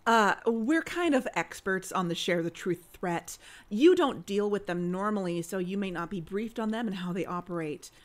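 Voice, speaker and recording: A woman's voice: medium pitch, animated, moderate pace, natural voice. A woman playing a pushy, self-assured investigator in a mock-documentary audio drama, officious and insistent.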